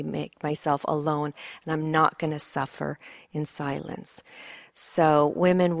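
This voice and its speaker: Dry-sounding, lower-voiced Swedish-born woman. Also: gravelly, no room sound. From Sweden. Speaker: pastor's wife leading a women's session. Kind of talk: encouraging talk and prayer. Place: church conference hall.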